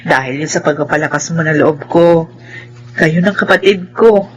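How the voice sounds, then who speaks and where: A woman's voice: sits low, unhurried, fairly bright tone, rough recording. An actress playing a forgiving, hardworking middle-aged mother in a Filipino radio drama, earnest and motherly. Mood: cheerful, affectionate.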